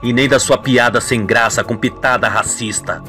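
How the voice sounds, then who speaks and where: A shady young henchman in a radio soap, acted by a man, speaking low and gruff. His talk is slangy, with menace underneath. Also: steady tone, normal speed, bright, forceful tone, soft background music, lightly acted. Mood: guarded, complicit. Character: shady, menacing young male accomplice and hitman.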